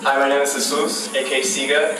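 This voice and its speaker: A man's voice: mid-range voice, little pitch movement, fairly quick, background noise. A nineteen-year-old man from California, an esports player, speaking with confident competitive talk in a player interview. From Redwood, California, USA. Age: late teens. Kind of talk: pre-recorded player interview. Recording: very echoey, distant, loud music.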